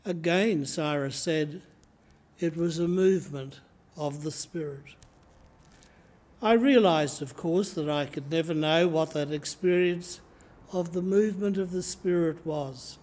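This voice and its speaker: An older man in his seventies with an Australian accent, preaching a sermon from the lectern of a church and reading slowly and deliberately from his notes. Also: on the high side, darkish tone. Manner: slow, measured reading from notes. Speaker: priest. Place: church sanctuary, indoors. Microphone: lectern microphone, camera in front.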